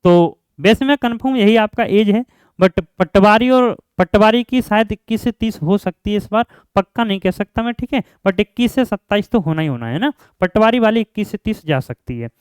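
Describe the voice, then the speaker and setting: A man's voice: high voice, animated, moderate pace, clean tone, no echo. A man explaining like a coaching teacher, often repeating himself and answering his own questions. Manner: repetitive, asks questions and answers them himself, frequent check-in tags. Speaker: exam coaching teacher and channel host. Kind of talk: informal explainer lesson for job aspirants.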